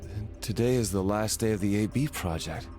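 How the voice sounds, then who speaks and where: A low-pitched, soft-spoken man played by a male game actor, just woken and unsure who he is. He speaks in measured, probing questions over faint music. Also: lightly acted. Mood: puzzled, probing. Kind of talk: video game voice acting.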